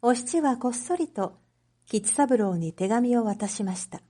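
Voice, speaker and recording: A woman reading a story aloud as a narrator, in a measured, clearly phrased storytelling manner for reading practice. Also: fairly high pitch, animated, mellow. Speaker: narrator, Japanese reading teacher.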